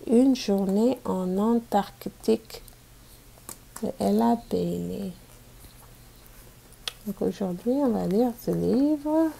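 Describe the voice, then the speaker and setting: A woman reading a picture book aloud to children as a teacher, in a deliberate manner made for learners. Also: medium pitch, gravelly, dark tone, a little hiss or hum. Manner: deliberate, repeats sentences for learners.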